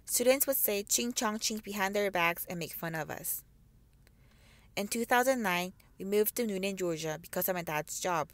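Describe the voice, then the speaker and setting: A woman's voice: medium pitch, no echo. A woman in her twenties, born in South Korea, reading a scripted narration of her family's immigration story. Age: 20s. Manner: reads a prepared script steadily.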